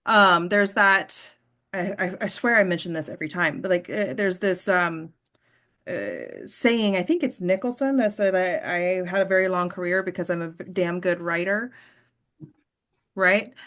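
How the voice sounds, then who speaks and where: A woman's voice: on the low side, animated, normal speed, fairly bright tone. A woman acting coach hosting a casual discussion, explaining with emphasis and prompting the group with questions.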